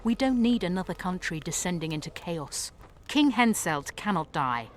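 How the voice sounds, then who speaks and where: A woman voicing a self-assured, imperious court sorceress in a video game, crisp and scornful in manner. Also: fairly low pitch, lively intonation, moderate pace, slight background noise, close to an everyday voice. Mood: cool, haughty. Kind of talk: video game dialogue acting. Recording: music behind the voice.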